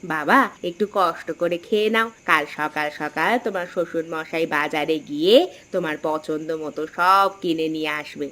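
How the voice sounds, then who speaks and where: A woman's voice: deep voice, moderate pace, fairly bright tone. A woman voicing a placating, indulgent middle-aged village mother-in-law who coaxes and soothes, in a folk-tale cartoon. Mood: coaxing. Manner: coaxing, soothing. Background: background music.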